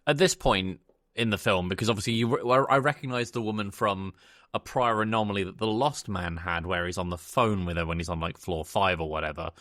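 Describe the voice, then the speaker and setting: A man's voice: deep voice, brisk, a bit bright, no echo, clean studio sound. A man hosting a podcast in casual chat, talking hesitantly with joking asides. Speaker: podcast host. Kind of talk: casual chat reviewing a film.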